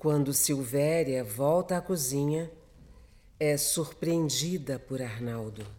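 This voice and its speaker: A woman's voice: sits low, slow pace, dark tone. A woman with a Brazilian accent reading scripted, dramatic narration for a radio serial.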